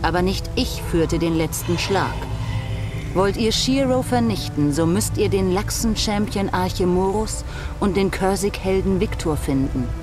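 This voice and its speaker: Casting: woman as proud ghostly swordswoman for a game, unhurried, solemn, music underneath. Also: medium pitch, animated, close to an everyday voice. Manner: solemn, measured, dignified. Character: ghost of a proud, calm female warrior. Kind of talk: dramatic video game acting. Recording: music behind the voice.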